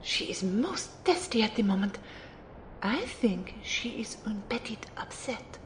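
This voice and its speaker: A woman's voice: mid-range voice, expressive, unhurried, in a whisper, natural voice, poor audio. A woman playing a haughty but fond mage mentor in an audio drama, with a condescending, theatrical manner. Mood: gentle, knowing. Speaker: voice actor in an amateur audio drama. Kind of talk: acted dialogue in an audio drama.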